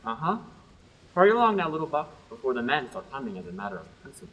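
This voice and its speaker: A man's voice: mid-range voice, fast, distant mic, muffled recording. A young man playing a dry, sarcastic young prince in a student radio play. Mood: sardonic. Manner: deadpan, sarcastic.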